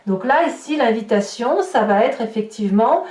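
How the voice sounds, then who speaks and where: A woman's voice: on the high side, expressive, moderate pace, darkish tone. A woman giving a spoken tarot reading to viewers, explaining things by rephrasing and listing examples. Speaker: tarot reader. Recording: roomy echo, distant microphone.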